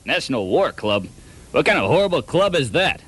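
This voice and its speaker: A man's voice: on the high side, very animated, a little fast, over loud music. A man playing a dim, cocky, boastful detective in an amateur comedy radio drama. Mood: earnest, dim. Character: dim, cocky hard-boiled private detective with boastful airs.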